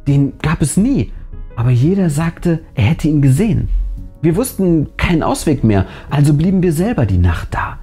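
A man narrates a scripted horror tale with a dramatic storyteller's delivery. His voice is dark and relaxed, yet the pitch moves in a lively way. Faint music plays behind him as he leaves suspenseful pauses. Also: breathy edge. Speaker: narrator and channel host. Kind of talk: scripted narration of a first-person horror story, read aloud. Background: background music.

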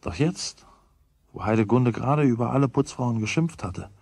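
An actor playing the storyteller, narrating a German children's radio play from a script. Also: male voice, medium pitch, lively intonation, moderate pace, gravelly, a little mellow, no echo. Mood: calm storytelling. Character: male storyteller of a children's tale.

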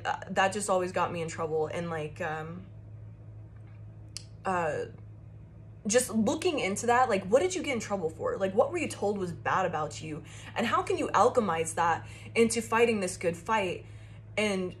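A young woman doing a casual tarot reading to her phone camera in a small room, with a loud, carrying voice and a rambling, profane way of talking full of fillers. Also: mid-range voice. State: shaky. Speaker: tarot reader.

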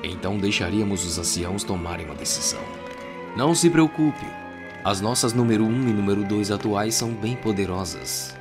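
A man with a Brazilian accent voicing a sly, condescending agent in a measured, mocking way for an anime fan dub. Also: fairly low pitch, over music, pitched below the performer's own voice, natural voice. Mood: calm, condescending.

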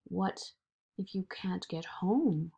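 Storybook inner doubter, played by a woman: small, needling, husky, discouraging, with expressive swoops. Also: on the low side, takes it slowly, muffled recording, full character voice. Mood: doubting. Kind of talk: picture-book read-aloud.

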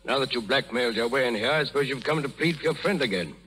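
An actor playing a stern, suspicious emperor, clipped and interrogating, in a 1930s radio drama. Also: male voice, mid-range voice, quick pace, bright, forceful tone, faint music behind, lightly acted, poor audio. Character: stern suspicious middle-aged male emperor.

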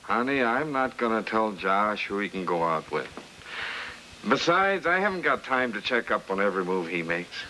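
A middle-aged man plays a busy, dismissive father in a 1950s film. His lowish voice is forceful and lively in pitch. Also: normal speed, slight background noise, low-quality recording. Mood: impatient.

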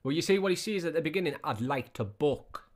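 A man's voice: on the high side, animated, brisk. Scornful man reacting casually. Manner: scornful, repetitive questioning. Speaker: reaction video host.

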